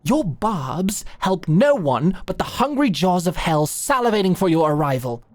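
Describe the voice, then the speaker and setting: A woman's voice: fairly low pitch, big pitch swings, normal speed, dry recording. A woman playing a prim young nobleman in an audio drama, scolding formally and emphatically with an exasperated air. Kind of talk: dramatic audio roleplay acting.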